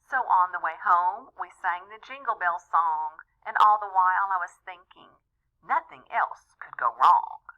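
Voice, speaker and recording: A woman reading a rhyming children's story aloud in an expressive, deliberate way, as a storyteller talking to young listeners. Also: fairly low pitch, narrow, tinny audio. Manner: animated, deliberate, with small asides to young listeners.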